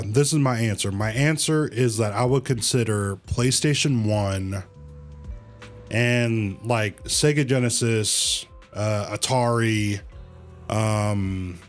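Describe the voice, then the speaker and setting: A man hosting a livestream, chatting casually with viewers in a halting way, thinking aloud and repeating his phrases. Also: on the low side. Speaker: livestream host. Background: music.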